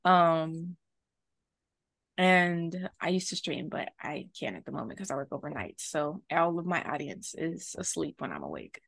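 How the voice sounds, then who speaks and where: A young woman guest in a casual chat, talking quickly with laughs and asides. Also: deep voice, animated, creaky, a bit bright.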